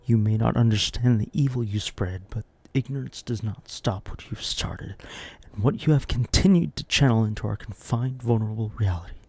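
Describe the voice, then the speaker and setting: A man playing a tormented, doom-haunted soul who raves melodramatically in archaic words, his voice husky and strained. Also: on the low side, moderate pace, few pauses, darkish tone, no echo. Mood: anguished, accusing. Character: tormented, doom-haunted man raving against cursed recipes.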